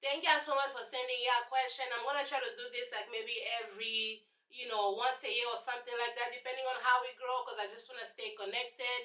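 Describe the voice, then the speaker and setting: A woman's voice: a little high, flat delivery, fairly quick, few pauses, sharp tone, roomy sound. A young woman from Congo with a Congolese accent, answering viewer questions in a casual, sassy vlog. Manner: chatty, sassy, preachy asides. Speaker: YouTube host.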